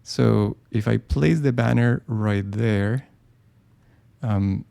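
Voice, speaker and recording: A man hosts a live software tutorial, talking it through as he works. His voice is heavily gravelly and warm in tone, with a relaxed, unhurried delivery. He keeps pausing on fillers and restarting his sentences as he thinks aloud. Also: fairly low pitch. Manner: casual, thinking aloud with many ums and restarts. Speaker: design software presenter. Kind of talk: live screen-share tutorial.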